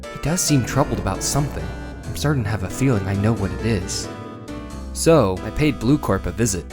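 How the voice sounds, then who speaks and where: An actor playing an earnest, dogged and excitable young defense lawyer in a video game, with a probing way of talking. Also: male voice, on the low side, a little fast, music in the background, natural voice. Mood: thoughtful. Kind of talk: dramatic video game character acting with inner monologue.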